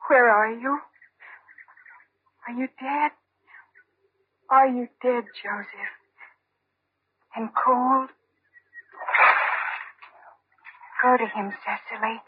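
An actress playing a young, childlike and unstable bride in an old-time radio drama, with a coy, dreamy, theatrical delivery and a breathy voice. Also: female voice, a little high, exaggerated voice. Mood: dreamy grief. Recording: tinny old radio recording.

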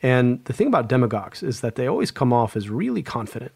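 A man's voice: medium pitch, sing-song, fast, creaky, darkish tone, slightly rough, no room sound. A man, an epidemiologist guest, speaking earnestly in an interview, often restarting and repeating words. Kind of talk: conversational interview.

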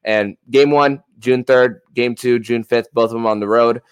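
A man hosting a sports talk podcast, speaking in a halting way and often repeating words mid-sentence. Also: mid-range voice, steady tone, clear, resonant tone, dry recording. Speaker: podcast host.

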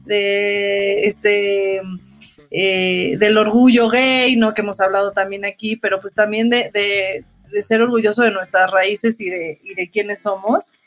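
A woman's voice: mid-range voice, fairly even intonation, measured pace. A woman with a Mexican accent hosting an interview podcast, talking casually with many fillers and restarts. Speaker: podcast host.

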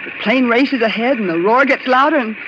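A woman's voice: fairly high pitch, a little fast, lo-fi sound, natural voice. Woman acting anxious young lady, noisy old radio. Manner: nervous, hesitant. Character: anxious, polite young woman traveler, easily unsettled.

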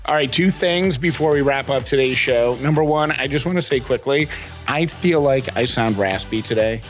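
A man's voice: mid-range voice, normal speed, soft background music. A man chatting casually as the co-host of a podcast. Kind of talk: casual chat.

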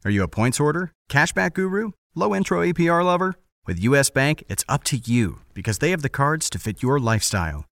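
A man reading a scripted bank advertisement in an upbeat way, ending with a quick, low disclaimer. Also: sing-song, gravelly, a bit bright, no room sound, studio quality. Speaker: ad announcer. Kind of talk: scripted radio-style ad read.

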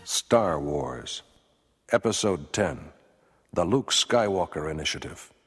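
A man narrating a radio drama opening in a grave, measured way. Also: deep voice, very animated. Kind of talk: scripted narration. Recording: distant narration over loud music.

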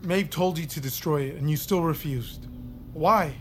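A woman's voice: low-pitched, normal speed, slight background noise, lightly acted. A woman playing a stern, exasperated interrogator in a naturalistic audio drama. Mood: probing.

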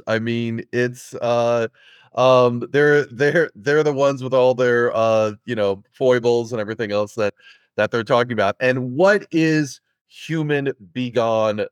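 A man's voice: medium pitch, smooth, no room sound, clean studio sound. A man hosting a podcast, talking in a casual, upbeat interview style. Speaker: podcast host interviewing a guest.

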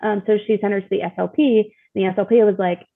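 Husky young woman on a phone-like line. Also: medium pitch, steady tone, fast talker, no echo. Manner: informal, candid, often uses "like". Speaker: interview guest, mother sharing her experience. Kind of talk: casual personal storytelling. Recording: thin, phone-like call audio.

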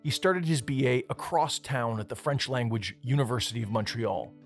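A man's voice: medium pitch, normal speed, soft background music. Man narrating a documentary podcast. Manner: conversational storytelling.

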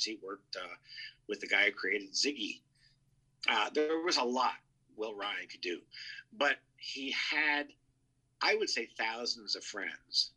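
Bright, forceful man with husky edge. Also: fairly low pitch, poor audio. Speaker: show contributor, entertainment writer. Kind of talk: reminiscing conversational tribute.

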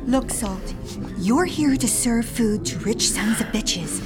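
A woman playing a level-headed, exasperated catering worker pleading for calm in an audio drama. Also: fairly high pitch, slow pace, over music.

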